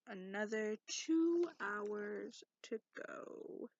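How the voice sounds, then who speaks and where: Woman playing a creaky, cranky bedtime dragon. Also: on the high side, no echo, heavily acted. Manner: grumbling, sarcastic, soft-spoken. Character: cranky, sarcastic female dragon reading a bedtime story. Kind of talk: ASMR roleplay, bedtime reading aloud. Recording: dull lo-fi close dry recording.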